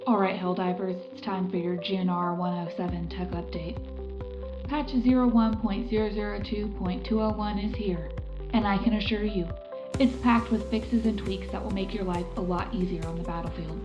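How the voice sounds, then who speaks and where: Female actor as upbeat wartime radio correspondent, brisk scripted news read, echoey, over music. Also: medium pitch, steady tone, natural voice. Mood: upbeat, reassuring.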